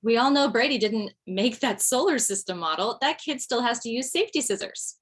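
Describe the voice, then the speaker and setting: A woman's voice: fairly high pitch, lively intonation, brisk. A woman acting a wry widowed mother doing stand-up. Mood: snarky. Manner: wry, rambling, punchy comic delivery. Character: recently widowed mother in her forties, rambling, darkly joking. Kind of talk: table read of stand-up style monologue.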